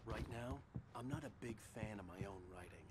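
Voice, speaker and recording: An actor playing a weary, serious thriller novelist in a video game, speaking tersely. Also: male voice, on the low side, moderate pace, close to an everyday voice. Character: weary, self-critical male thriller novelist hero. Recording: quiet dialogue buried in loud noise. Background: loud game noise.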